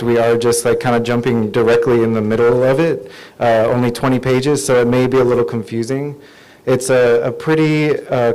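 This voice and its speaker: A man's voice: mid-range voice, a little mellow, clean tone, low-quality recording. A screenwriter introducing his script to a live audience, hesitant and friendly, with many fillers.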